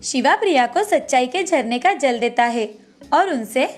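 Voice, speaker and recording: A woman's voice: high-pitched, lively intonation, normal speed, soft background music. A woman narrator reading a scripted moral bedtime story.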